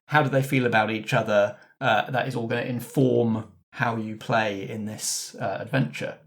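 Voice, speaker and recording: A man hosting a roleplaying podcast as game master, addressing the cast in a relaxed, organised way. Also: mid-range voice, normal speed. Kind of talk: casual backstage chat after scripted intro.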